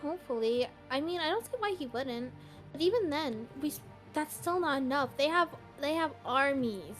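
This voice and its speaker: A woman voicing an excitable, anxious young girl, a devoted little sister, in an amateur Minecraft roleplay, flustered and stammering. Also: high voice, moderate pace, soft background music. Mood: worried, subdued. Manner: flustered, stammering, repeats words.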